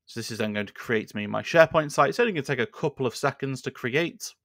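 A man in his thirties walks through a software tutorial, speaking casually and filling pauses with ums and uhs. His mid-range voice carries a gravelly, fry-tinged edge. He sits close to a boom-arm studio mic, so the sound is dry and free of room echo. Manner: relaxed, conversational, with frequent fillers. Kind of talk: screen-share tutorial. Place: small indoor room at a desk. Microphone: studio microphone on a boom arm.

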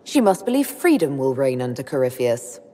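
A woman playing a wry, inquisitive young elf leader in a video game, with a husky voice and a dry manner. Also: extremely low pitch, big pitch swings, fairly quick, dark tone, full character voice. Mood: questioning. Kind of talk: scripted video game dialogue. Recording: long hall reverb.

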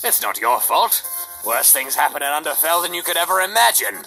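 Protective cartoon skeleton brother, played by a woman: very low, dry, measured, with lively inflection. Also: vocal fry, heavily acted, poor audio. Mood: reassuring. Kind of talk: cartoon comic dub. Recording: played-back video with music behind.

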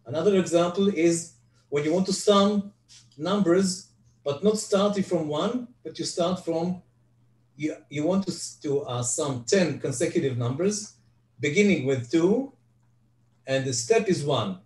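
A man's voice: fairly high pitch, a little mellow. Older man teaching on a video call. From Israel. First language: Hebrew. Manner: halting, frequent restarts and fillers. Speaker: guest presenter. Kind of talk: online Excel lecture. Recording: echoey, distant video call audio.

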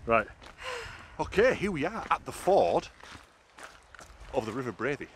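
A man's voice: medium pitch, sing-song, a little slow. A middle-aged man giving chatty, informal commentary for a walking vlog. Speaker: vlogger, hiking guide. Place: outdoors on trails and in a cave. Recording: outdoor ambience and noise.

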